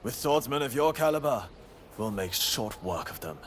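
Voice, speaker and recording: A man's voice: mid-range voice, expressive, normal speed, a little hiss or hum, close to an everyday voice. A man playing a proud, defiant young rebel swordsman in a video game cutscene, bold and intense. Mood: grudging resolve.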